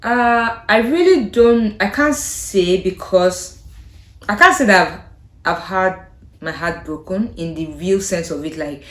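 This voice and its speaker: A young Nigerian woman, a native Yoruba speaker, chatting casually to camera in her vlog with a Nigerian accent, thoughtful and hesitant, often trailing off softly. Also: medium pitch, slow. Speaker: vlogger. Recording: echoey room.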